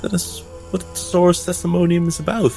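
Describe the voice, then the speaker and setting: A man's voice: high voice, steady tone, brisk, creaky, a little mellow, faint music behind, voice pushed up. A man playing an earnest, troubled priest in a tabletop game, stammering and unsure of himself. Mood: hesitant, unsure.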